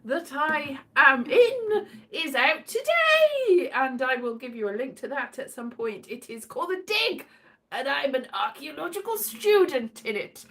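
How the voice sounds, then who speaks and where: A woman's voice: high voice, sing-song, unhurried, a bit bright. A British woman hosting a casual livestream, rambling in a whimsical, theatrical way. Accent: British English. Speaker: livestream host, voice actor. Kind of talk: rambling casual livestream chat with bits of singing.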